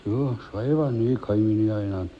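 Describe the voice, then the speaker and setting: An actor playing an unhurried middle-aged school caretaker, terse and colloquial, in a 1950s German radio drama. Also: male voice, on the low side, natural voice. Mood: offhand, obliging. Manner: offhand, colloquial, terse, tag questions. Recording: dull old radio drama recording.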